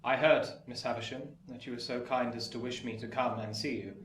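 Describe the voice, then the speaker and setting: Man acting a polite young gentleman, medium pitch, earnest, eager, radio play, roomy and faintly noisy. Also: flat delivery, brisk, natural voice. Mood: polite, awed. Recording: roomy echo, distant mic, faint noise.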